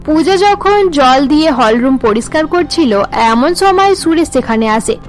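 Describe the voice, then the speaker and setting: A woman narrates a cartoon story from a script. Her voice is high-pitched. Faint music plays behind the narration. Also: animated, measured pace.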